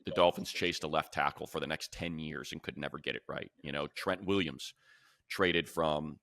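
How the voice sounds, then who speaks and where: A deep-voiced American man gives opinionated sports commentary. His voice is bright and forceful, recorded dry and close. He talks casually but emphatically, hammering a point by repeating it. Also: steady tone, normal speed, creaky, dry recording. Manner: emphatic, repeats phrases for effect. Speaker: sports podcast co-host and commentator.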